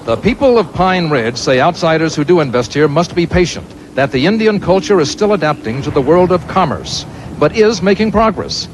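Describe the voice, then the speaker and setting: A man reporting from the field as a news correspondent in a steady, clear reporting voice. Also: a little high, normal speed, a little hiss or hum, poor audio.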